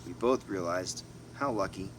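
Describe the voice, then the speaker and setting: A man's voice: low-pitched, normal speed. A man reading a storybook aloud in an informal way, sometimes stumbling and correcting himself. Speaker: amateur audiobook reader. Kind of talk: storybook narration with asides. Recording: noisy home recording with steady hum.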